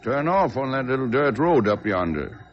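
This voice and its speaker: An actor playing a shriveled little old country driver, terse and creaky, in an old radio drama. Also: male voice, medium pitch, very animated, moderate pace, natural voice. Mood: reserved, terse. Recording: dull, noisy vintage radio recording.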